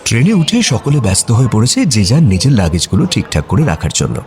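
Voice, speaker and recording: A man narrating a scripted romantic audio story in a reflective, conversational and expressive way. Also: fairly low pitch, a little fast.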